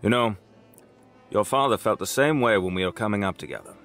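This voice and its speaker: A man's voice: lowish voice, fairly quick, fairly bright tone, soft background music. An actor playing an avuncular older city mayor in an audio drama, hearty and fatherly. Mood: reassuring.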